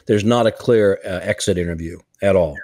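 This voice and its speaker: A man's voice: deep voice, moderate pace, a little mellow. An older man speaking over a headset on a video call, co-hosting a casual podcast with earnest, emphatic encouragement. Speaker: church revitalization leader, co-host. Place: home room, indoors.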